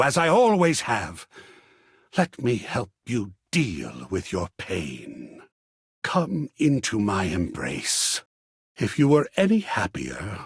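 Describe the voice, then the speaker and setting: An actor playing a flamboyant, seductive living work of art in a video game, theatrical and affectionate, with breathy husky endearments. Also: male voice, mid-range voice, no room sound, studio quality, full character voice. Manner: theatrical, drawn-out purring endearments with sudden exclamations. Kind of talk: theatrical game character acting.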